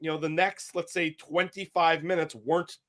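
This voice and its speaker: A man's voice: fairly high pitch, little pitch movement, normal speed, a bit bright. A man co-hosting a sports talk show, who keeps interrupting with probing questions. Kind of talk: casual sports chat.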